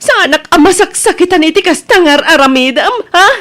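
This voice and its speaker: A high-voiced, aggrieved Filipino wife. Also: female voice, brisk, pushed and bright. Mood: stern, reproachful. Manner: insistent, scolding. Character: aggrieved, demanding wife, firm and reproachful. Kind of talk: radio drama acting.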